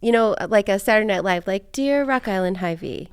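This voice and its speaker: A woman's voice: mid-range voice, a little fast, clean tone, no room sound. An American woman hosting a podcast in a casual, chatty, informal conversational style. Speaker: podcast host.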